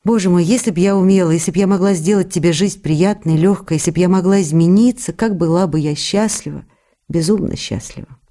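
A woman's voice: fairly low pitch, brisk, dark tone, close to an everyday voice. An actress playing a loving, wounded young wife, reading her letters in an intimate, earnest manner in a radio play. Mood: tender, remorseful.

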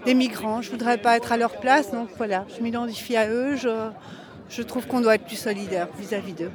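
A protester answering a street interview at a demonstration, soft-spoken and hesitant. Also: medium pitch, fairly even intonation, fairly quick, a crowd in the background.

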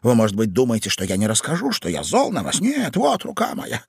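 A man voicing a meek, flustered gentleman in a classic story, agitated, pleading and elaborately polite. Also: medium pitch, huge pitch swings, a little fast, creaky, slightly rough, dry recording, clean studio sound, pitched above the performer's own voice, full character voice. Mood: earnest, pleading.

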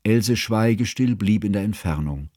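Ein Schauspieler spielt den gefassten Erzähler eines literarischen Hörspiels und berichtet gemessen, förmlich und genau. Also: male voice, fairly low pitch, lively intonation, a little mellow, no echo, studio quality. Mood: measured, watchful. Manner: measured, formal, precise. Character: observant, composed male narrator recalling a friend's confession. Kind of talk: literary radio play narration.

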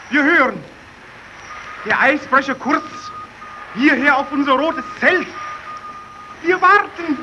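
An actor playing a worn-out, excitable stranded radio operator in a German radio play, repeating calls and mixing in Italian words. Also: male voice, extremely high pitch, dull audio. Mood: elated, calling. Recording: noisy old radio drama recording.

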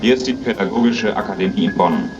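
A man's voice: fairly low pitch, fast, recorded from a distance, music in the background, close to an everyday voice. A man giving a live radio report in a 1940s style, describing a formal scene. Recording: muffled, noisy, echoey archival-style radio recording.

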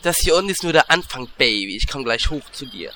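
A man playing a crude, cheeky, excitable adventurer with lecherous urges in a parody fantasy audio drama. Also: medium pitch, fast talker, a little hiss or hum.

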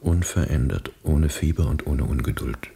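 An actor in a radio play, playing a grim, restrained revolutionary who speaks tersely and gravely. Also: male voice, moderate pace, mellow, heavily acted. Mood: grave, confessional. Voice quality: gravelly, husky, very deep. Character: somber, reluctant male revolutionary, grim and restrained.

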